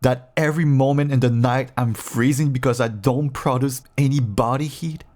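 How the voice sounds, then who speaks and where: A man playing a caged feral vampire who pleads clingily and bitterly with an estranged partner in an intimate dramatic audio roleplay, his voice husky and gravelly. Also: mid-range voice, normal speed, close to an everyday voice. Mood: aggrieved, complaining. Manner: clingy, pleading, swinging from hushed endearments to bitter outbursts.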